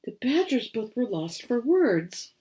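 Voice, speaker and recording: A woman giving a dramatic reading of a children's storybook in a theatrical, expressive manner. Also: on the high side, slow.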